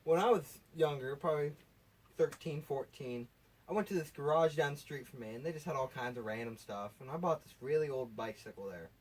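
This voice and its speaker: A man's voice: on the high side, fairly quick, husky. An eighteen-year-old American man co-hosting, telling stories in a casual, chatty style. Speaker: co-host. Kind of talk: casual storytelling chat. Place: basement room. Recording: voice somewhat distant from the mic.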